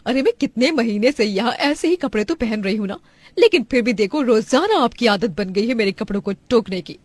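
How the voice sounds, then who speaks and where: Female narrator as vain young cartoon daughter-in-law, high and loud, haughty, indignant, very dry sound. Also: expressive, fairly quick, dry recording. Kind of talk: Hindi cartoon family drama.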